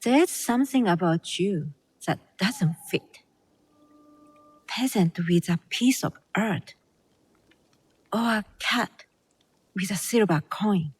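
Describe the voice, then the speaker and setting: A woman's voice: medium pitch, animated, slow, long pauses, breathy edge. An actress playing a snobbish, catty noblewoman in a video game, condescending and mock-polite. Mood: contemptuous. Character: haughty, catty, snobbish noblewoman from a rich family. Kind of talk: video game cutscene acting.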